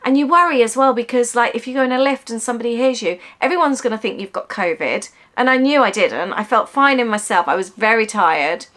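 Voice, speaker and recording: A woman, chatting casually as a travel vlogger and telling stories in an animated way. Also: on the high side, fairly quick, hardly any pauses. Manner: animated storytelling, finishes her partner's sentences. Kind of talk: casual chat.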